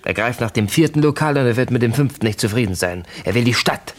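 An actor in a German radio play voicing a cool, calculating gangster who reports in clipped, matter-of-fact sentences. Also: male voice, medium pitch, animated, fast, lightly acted. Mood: cool, grave.

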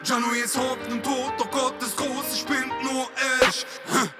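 A man's voice: very high voice, level tone, moderate pace. A man rapping melodic hip hop as a rapper. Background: loud hip hop music.

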